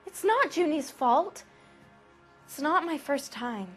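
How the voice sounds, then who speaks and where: A woman's voice: sits high, animated, slow pace, soft background music. An actress playing a naive, dazed teenage girl with starlet dreams, hesitant and defensive, in a video game drama. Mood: upset, defensive. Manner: hesitant, pleading.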